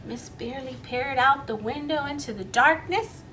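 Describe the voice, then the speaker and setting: A woman's voice: sits high, measured pace, pushed and bright, slight background noise, rough recording. A woman reading a children's story aloud expressively, inviting young listeners to join in. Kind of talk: animated children's read-aloud.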